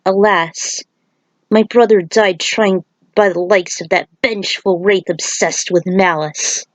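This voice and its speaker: A woman's voice: mid-range voice, fairly even intonation, slow, dry recording, close to an everyday voice. A woman voicing a solemn, vengeful male ninja warrior in formal story dialogue. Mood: grave, brooding.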